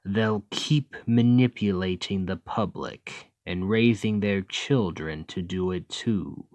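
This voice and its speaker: Man playing a slow, deep-voiced smug demon king. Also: darkish tone, no room sound. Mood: persuasive, goading. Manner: slow, deliberate, condescending, taunting. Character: demon king, smug, calculating, persuasive villain. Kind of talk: ASMR roleplay, scripted acting addressed to listener.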